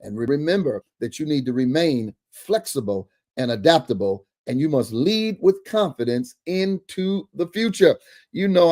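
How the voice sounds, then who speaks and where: Guy hosting a church show, kinda high voice, preachy and excited, laughs a lot. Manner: emphatic, preacherly, laughs often. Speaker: church broadcast host. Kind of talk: enthusiastic interview host.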